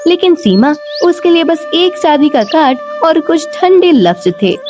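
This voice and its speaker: A woman's voice: high voice, very animated, measured pace. A woman reading scripted narration for a moral story as its storyteller. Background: background music.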